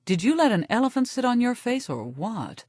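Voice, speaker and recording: A woman's voice: a little high, very animated, moderate pace, darkish tone, no room sound, clean studio sound, voice pushed up. A woman narrator voicing a glamorous young woman who delivers blunt insults coolly. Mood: cutting.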